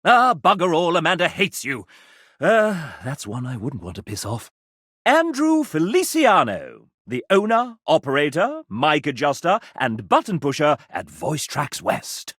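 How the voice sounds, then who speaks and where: Sassy video game narrator, theatrical n arch, kinda high voice, pitch goes all over the place. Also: male voice, huge pitch swings, measured pace, a bit bright, no echo, clean studio sound, full character voice. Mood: dryly disapproving. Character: sassy, theatrical, chatty male guide to dates. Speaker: narrator and guide.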